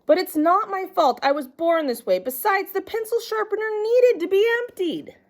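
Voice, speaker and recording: A woman's voice: expressive, moderate pace. A woman reading aloud, voicing a young schoolchild who protests and whines that nothing is ever their fault. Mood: whining. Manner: high, protesting, whining. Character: whiny young schoolchild always dodging blame.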